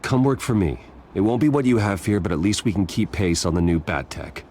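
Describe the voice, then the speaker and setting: An actor playing a brooding, determined billionaire vigilante in a video game, speaking in a terse, grave, controlled way. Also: male voice, deep voice, fairly quick, darkish tone, a little hiss or hum. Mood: earnest. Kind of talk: dramatic video game acting.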